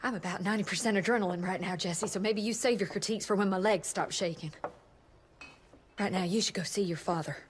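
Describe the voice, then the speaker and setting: An actress playing a sharp-witted, defiant woman doctor with articulate, formal scientific diction in a game cutscene. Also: mid-range voice, fast talker, a little hiss or hum, close to an everyday voice. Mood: shaken, brisk. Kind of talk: video game cutscene acting. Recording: clear soundtrack music behind.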